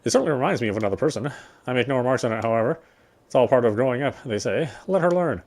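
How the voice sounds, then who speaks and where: A man reading first-person visual novel narration quickly, with frequent slips and corrections. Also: mid-range voice, animated, darkish tone.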